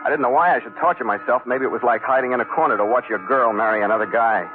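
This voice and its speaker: An actor playing a weary, tough ship captain in 1940s radio adventure style, speaking in a terse, hard-boiled manner. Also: male voice, medium pitch, very fast, lightly acted. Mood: grim. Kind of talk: 1940s radio adventure acting, first-person narration. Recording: 1940s radio broadcast, narrow band, music behind.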